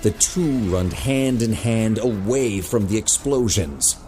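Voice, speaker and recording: Slow, deep, dramatic male narrator. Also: expressive, warm tone, faint music behind. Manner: measured, dramatic. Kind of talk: scripted audio drama narration.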